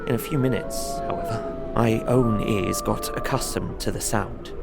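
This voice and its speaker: A man playing an anxious traveller in an audio drama, recounting a frightening journey in measured, literary, suspenseful narration. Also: lowish voice, gravelly, music in the background. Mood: uneasy suspense. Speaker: narrating lead character.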